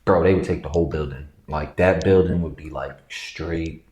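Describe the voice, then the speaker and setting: A man co-hosting a casual chat, speaking in a relaxed, laid-back way. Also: a bass voice, normal speed. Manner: relaxed, laid-back, repeats himself when starting a thought. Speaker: co-host. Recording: echoey room.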